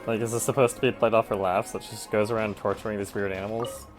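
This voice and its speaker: A man's voice: fairly low pitch, level tone, quick pace. Dry-humoured man streaming a game. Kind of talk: casual live gameplay commentary. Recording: game music behind voice. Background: game music.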